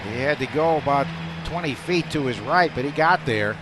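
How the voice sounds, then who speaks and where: A man calling baseball live on radio, describing each play in detail and getting excited on the big ones. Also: mid-range voice, moderate pace, music in the background. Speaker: play-by-play announcer. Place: stadium broadcast booth. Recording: faint stadium noise behind a broadcast.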